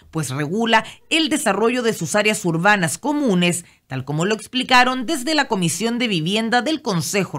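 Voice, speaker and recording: Female news reporter, Chilean accent, fairly high voice, scripted narration. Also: a bit bright.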